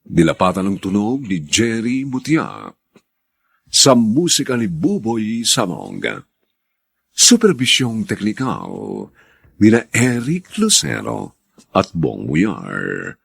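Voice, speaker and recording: A man's voice: lowish voice, wildly swooping pitch, slow, gravelly, a bit bright, husky, dry recording. A man with a Filipino accent announcing and narrating a radio drama in a dramatic scripted style.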